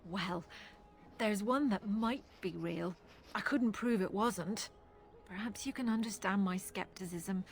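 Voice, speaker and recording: An actress playing a thoughtful, sceptical religious scholar in a fantasy game, speaking earnestly and articulately. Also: female voice, mid-range voice, measured pace, natural voice.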